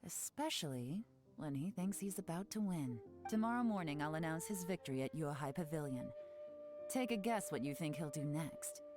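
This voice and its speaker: A woman voicing a cool, composed female intelligence agent in a video game, talking in a calm, measured, knowing way. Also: low-pitched. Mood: commanding. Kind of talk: game dialogue. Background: game music.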